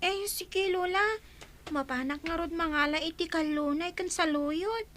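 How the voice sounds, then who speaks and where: A small, submissive child, played by a woman in an audio drama. The voice is very high and slow, soft and hesitant. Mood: meek, reluctant. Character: meek, obedient young grandchild. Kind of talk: Ilocano audio drama acting.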